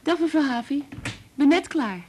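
An actress playing a polite, naive and eager young assistant in a Dutch radio play, deferential and curious. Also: female voice, sits high, expressive, moderate pace, noisy background.

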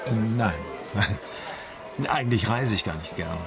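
An actor playing a mild, polite, quirky Dutch traveller with a hesitant, understated manner in a German radio drama. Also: male voice, lowish voice, expressive, normal speed, music in the background.

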